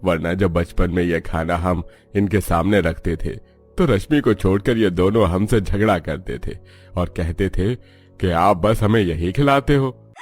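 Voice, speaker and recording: A poor, weary middle-aged father from a cartoon , played by a man, fond and reflective. He speaks in a lowish voice. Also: unhurried, faint music behind. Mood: fond, rueful. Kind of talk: cartoon story voice acting.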